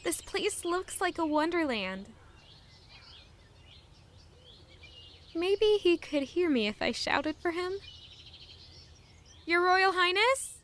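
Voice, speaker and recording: A woman's voice: very high-pitched, animated, takes it slowly, fairly bright tone, exaggerated voice. A woman playing an earnest young lady-in-waiting with a secret past in an audio drama. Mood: bright, eager. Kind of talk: audio drama dialogue and inner monologue.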